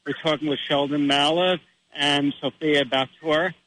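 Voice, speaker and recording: An American man hosting a radio interview, speaking haltingly with many pauses. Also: fairly high pitch, fairly even intonation, a bit bright, slight background noise, poor audio. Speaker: radio host.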